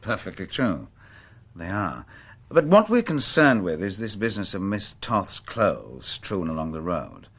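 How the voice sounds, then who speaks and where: A wry, courteous police inspector in a radio drama. His voice sits low and rough-edged, swinging widely in pitch as he puts measured, probing questions. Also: huge pitch swings, fairly bright tone, full character voice.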